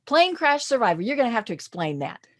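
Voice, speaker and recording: Lively, high-voiced woman host. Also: quick pace. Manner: attentive, frequent affirmations. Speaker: podcast host. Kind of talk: casual interview talk.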